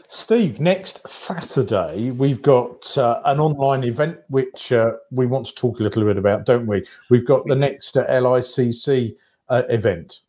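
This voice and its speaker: A man with a medium-pitched voice co-hosts an online church service. His delivery is casual and informal, feeling its way a little hesitantly. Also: unhurried, dark tone. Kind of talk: casual live hosting chat.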